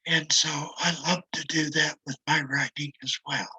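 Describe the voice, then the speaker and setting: A retired older man with a raspy, damaged voice, speaking slowly and reflectively as an interview guest, with a self-deprecating manner. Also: a little high, fairly even intonation, bright, forceful tone, poor audio. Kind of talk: casual conversation.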